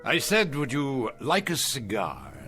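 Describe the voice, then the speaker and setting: An actor playing a ruthless self-made business tycoon in an audio drama, drawing out phrases with mocking relish. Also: male voice, mid-range voice, wildly swooping pitch, measured pace, loud music behind the voice.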